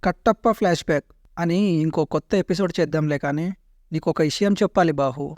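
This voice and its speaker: A man's voice: a little high, quick pace, a little mellow, no echo, clean studio sound, close to an everyday voice. A man playing a loyal, anxious old warrior uncle in a comic cartoon parody. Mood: urgent, exasperated.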